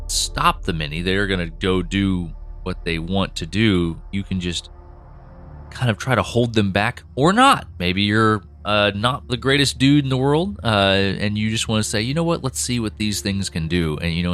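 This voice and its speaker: A man co-hosting a behind-the-scenes podcast, talking through the lore of his audio drama with animated enthusiasm and plenty of fillers. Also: fairly low pitch, normal speed, soft background music. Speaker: podcast co-host, show creator. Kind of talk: casual behind-the-scenes chat.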